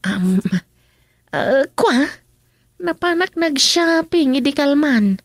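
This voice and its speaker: Young tomboy villager, very high voice, slow delivery, bashful yet stubborn, Ilocano Filipino accent, radio comedy drama. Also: female voice, lively intonation, dry recording, clean studio sound, exaggerated voice.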